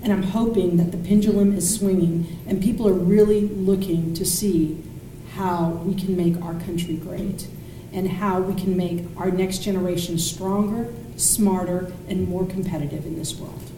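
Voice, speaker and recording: Woman, middle-aged, second-language English, lowish pitch, earnest, hesitant, roomy recording with faint noise. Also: steady tone, moderate pace, dark tone. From Thailand. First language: Thai. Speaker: political discussion panelist. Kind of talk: casual personal introduction to a group. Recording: roomy, distant mic, faint background noise.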